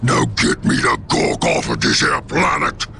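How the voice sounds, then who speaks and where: A man voicing a brutish, bad-tempered Ork warboss in a video game, with a snarling gravelly Cockney voice, shouting insults at his underlings. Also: mid-range voice, huge pitch swings, a little slow, slight background noise, heavily acted. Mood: furious, scheming. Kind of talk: video game cutscene acting. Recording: loud music behind the voice.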